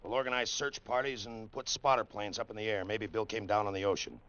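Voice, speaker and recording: A man plays a stern Army colonel in a vintage radio drama. His voice is low, terse and commanding, refusing to give in. The recording sounds dull and old. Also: steady tone, brisk, fairly bright tone, natural voice. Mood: stubborn, grave. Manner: terse, clipped, authoritative.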